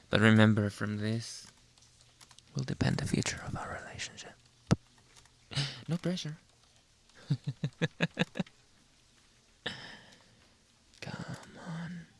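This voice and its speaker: A man acting a slow, whispery jealous boyfriend. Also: mid-range voice, heavily acted. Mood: wounded, teasing. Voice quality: breathy, often dropping into whisper. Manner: theatrical, slow, drawn-out interrogating with dramatic pauses. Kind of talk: ASMR roleplay, close whispering and soft speech.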